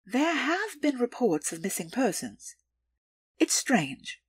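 A woman playing a calculating, deferential underworld information broker in an audio drama, with formal, measured, precise delivery. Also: a little high, big pitch swings, husky, dry recording, heavily acted. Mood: businesslike.

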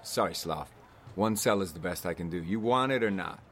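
An actor playing a hard-bargaining parts hawker in an audio drama, brusque and businesslike. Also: male voice, deep voice, lively intonation, fairly quick, a bit bright, slight background noise.

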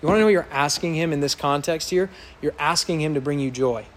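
A man preaching to his congregation in a church, his voice loud and somewhat high for a man. He speaks earnestly and with emphasis, building to impassioned peaks. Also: fast. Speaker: pastor. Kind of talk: sermon.

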